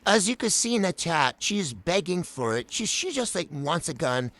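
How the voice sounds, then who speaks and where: A high-pitched speaker talks over gameplay in a loose, rambling way, padding every thought with "like" and "um." The pitch swings up and down with a lively, animated melody. A steady layer of hiss sits under the voice. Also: normal speed. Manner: rambling, full of "like" and "um" fillers. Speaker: gameplay commentator. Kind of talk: casual voice-over commentary on gameplay.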